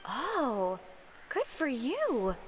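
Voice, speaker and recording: A woman's voice: high-pitched, huge pitch swings, drawn-out words, slight background noise, exaggerated voice. An actress playing an aloof, sardonic young woman in an anime video game, dry and dismissive. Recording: background music behind the voice.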